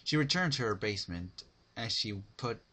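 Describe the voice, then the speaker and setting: A man's voice: fairly low pitch, lively intonation, normal speed, pushed and bright, husky. A man narrating a dramatic reading of fan fiction, with some faint noise in the recording.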